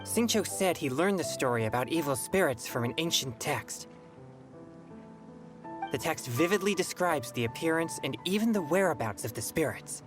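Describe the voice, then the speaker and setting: Casting: serious boyish exorcist for a game, low-pitched, reserved delivery, music underneath. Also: female voice, animated, normal speed. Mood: earnest. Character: earnest, subdued young male exorcist. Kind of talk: video game voice acting.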